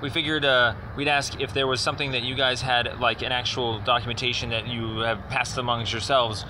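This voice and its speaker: A man speaking as a caller making an informal inquiry, explaining at length in a halting, rambling, wordy way. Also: medium pitch, flat delivery. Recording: noisy phone call with outdoor traffic.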